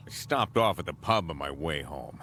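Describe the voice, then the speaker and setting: A very deep-voiced, gruff grieving father. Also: lively intonation, moderate pace, bright, forceful tone, slight background noise, exaggerated voice. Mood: gruff, defensive. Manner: gruff, defensive, mournful. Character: grieving hard-drinking father of a young girl, 1915. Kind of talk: audio drama acting.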